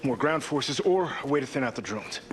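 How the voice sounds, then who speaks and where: Need a man as a terse bodyguard chief, firm and clipped, mid-pitched, over a noisy mix. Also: expressive, a little fast, close to an everyday voice. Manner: clipped, professional. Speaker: head of a protective detail. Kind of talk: game cutscene acting.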